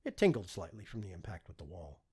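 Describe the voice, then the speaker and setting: A man's voice: low voice, sing-song, fast talker, warm tone, slightly rough. A man narrating scripted fiction as an audiobook reader.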